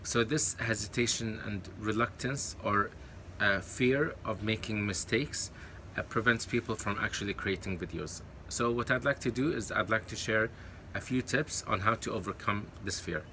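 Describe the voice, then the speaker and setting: A man's voice: on the low side, moderate pace, bright, forceful tone, slight background noise. A man from Kuwait whose first language is Arabic, giving casual encouraging advice to camera, with many fillers and self-corrections. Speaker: YouTube creator.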